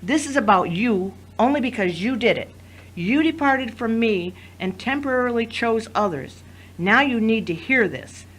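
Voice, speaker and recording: A woman acting in an audio drama as a wounded but resolute wife, speaking in a solemn, deliberate, commanding way. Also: fairly high pitch, lively intonation, husky. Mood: stern.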